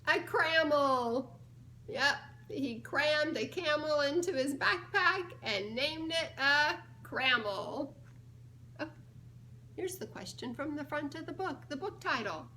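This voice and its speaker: A woman with a high, bright voice leads a children's story time at a very slow pace. She reads playfully and puts questions to young listeners in a giggly, coaxing way. There is clear noise in the background. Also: animated. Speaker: storybook reader, children's ministry director.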